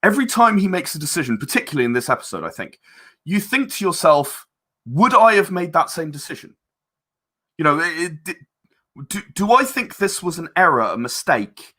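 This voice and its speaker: A man with a fairly high-pitched voice and a crisp, forward brightness. He talks casually as a guest explaining his work, often doubling back on words while he thinks. The delivery is conversational and halting. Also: sing-song. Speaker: interview guest, audio drama director and voice actor. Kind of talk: casual explanatory interview talk.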